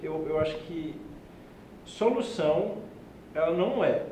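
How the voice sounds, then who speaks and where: A young man in his twenties argues a point on a student panel. He lays out both sides in a measured way. His slightly high voice is heard in a roomy space with a faint rumble. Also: expressive, dark tone. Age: 20s. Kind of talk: casual panel debate.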